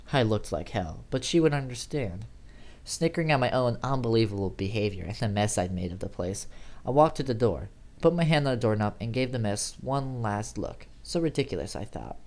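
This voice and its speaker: A man's voice: mid-range voice, lively intonation, fairly quick, a little mellow. A man reading a first-person horror story aloud in a dramatic, theatrical way, sometimes stumbling over words. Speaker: amateur horror story reader.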